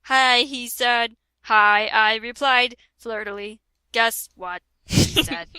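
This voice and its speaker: A woman reading a parody fan story aloud, playful and quick to laugh and correct her co-reader. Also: a little high, steady tone, sharp tone, smooth, dry recording.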